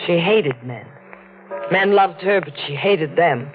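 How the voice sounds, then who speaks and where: Melodramatic, lovesick young dame for vintage radio crime serial: earnest, intense, narrow-band broadcast sound, light score underneath. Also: female voice, lowish voice, expressive, slow. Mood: bitter. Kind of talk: 1940s radio crime drama acting. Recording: muffled old radio recording, faint music.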